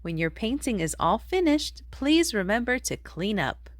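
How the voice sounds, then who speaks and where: A caring mother alligator from a picture book, acted by a woman reader. She speaks in a measured, motherly way, patient yet firm, with a dry, close sound. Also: fairly high pitch, big pitch swings, no echo. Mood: gently reminding.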